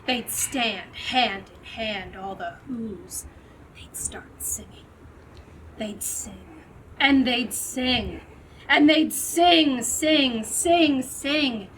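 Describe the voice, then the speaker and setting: A woman with a fairly high voice reads a children's storybook aloud. She takes it very slowly and dramatically, stretching words out. She sounds a bit distant, with faint noise behind her. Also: a bit bright. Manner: theatrical, deliberate, with drawn-out words. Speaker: storybook reader.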